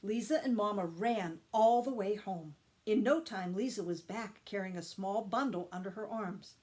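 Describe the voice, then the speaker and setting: A woman reading a children's story aloud with expressive, deliberate emphasis. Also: medium pitch. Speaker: storytime reader, teacher.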